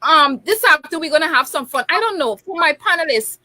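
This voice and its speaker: A woman's voice: high-pitched, expressive, brisk, sharp tone, no room sound. A woman hosting a talk show, chatty and laughing often. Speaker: host.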